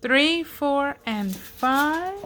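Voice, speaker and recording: A woman's voice: high voice, expressive, drawn-out words, fairly bright tone. A woman chatting casually at home as she gets a family reading started. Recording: faint background noise.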